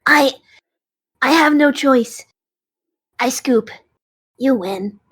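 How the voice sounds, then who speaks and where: Woman voicing a high, whiny, childish dragon. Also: extremely slow, a bit bright, husky, exaggerated voice. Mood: flustered, defeated. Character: childish card-playing dragon, hungry, sulky, easily flustered. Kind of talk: audio drama acting.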